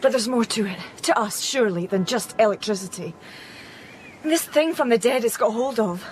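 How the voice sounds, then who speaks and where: A woman playing an anguished, defiant grieving mother in an audio drama, sharp-tongued and emotional. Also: fairly high pitch, animated, normal speed, slight background noise, natural voice. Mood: distraught. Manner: emotional, searching, challenging.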